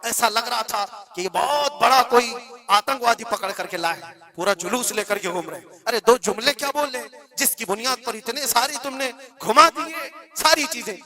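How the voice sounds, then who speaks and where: High-pitched, forceful Indian male preacher. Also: normal speed. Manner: emphatic, exhorting, repeats phrases for weight. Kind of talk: impassioned religious sermon.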